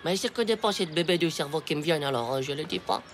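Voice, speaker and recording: An actor voicing an excitable, cheeky and naive ten-year-old boy in an animated cartoon, exclamatory and slangy. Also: mid-range voice, quick pace, slight background noise, close to an everyday voice. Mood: sheepish.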